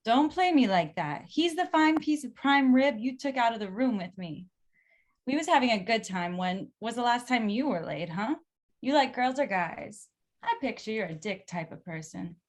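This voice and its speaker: A woman's voice: fairly high pitch, expressive, normal speed, close to an everyday voice. Woman playing a brash, sassy streetwise hooker. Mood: taunting, flirty. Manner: sassy, slangy. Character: brash, flirtatious, foul-mouthed female sex worker. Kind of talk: screenplay table read acting.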